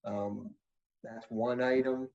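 A man's voice: medium pitch, steady tone, vocal fry, darkish tone, a little hiss or hum. Man explaining hesitantly over muffled audio. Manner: hedging, measured, restarts often. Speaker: township engineer advising a zoning board. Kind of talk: explaining at a public meeting. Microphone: video call. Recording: muffled video-call audio.

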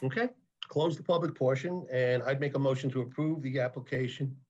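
A man's voice: mid-range voice, fairly even intonation, a little fast, a little mellow, a little hiss or hum. A man chairing a zoning board meeting on a video call, businesslike and courteous. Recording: muffled video-call audio.

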